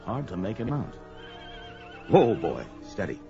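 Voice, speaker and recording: A bold barbarian warrior, speaks very low with lively, declamatory swings. He is wary and mercenary, heard on a dull-sounding fantasy adventure record. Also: measured pace, vocal fry, heavily acted. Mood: startled, bold. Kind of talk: 1970s audio drama record acting. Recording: dull vintage story record with music.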